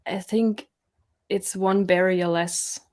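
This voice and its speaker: German woman guest, mid-pitched, halting speech with restarts, from Bavaria. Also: fairly even intonation. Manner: hesitant, many restarts and fillers, soft-spoken. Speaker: guest, nature journaling educator. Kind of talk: casual interview chat.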